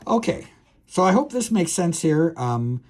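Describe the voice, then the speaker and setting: A man's voice: a little high, sing-song, unhurried, darkish tone. A man lecturing as a professor on a technical subject, explaining step by step in a hesitant, thinking-aloud way with self-corrections.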